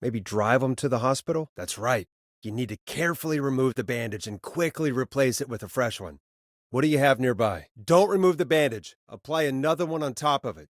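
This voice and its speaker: A man playing a steady, weary radio host who guides callers through an emergency in a video game, speaking in an earnest, conversational, slightly hesitant way. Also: mid-range voice, brisk, dry recording, studio quality, close to an everyday voice. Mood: urgent, instructing. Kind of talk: naturalistic video game voice acting.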